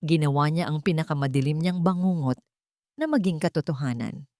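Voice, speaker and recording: A woman narrating a scripted dramatic story with measured, dramatic phrasing. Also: low-pitched, expressive, warm tone, dry recording, clean studio sound.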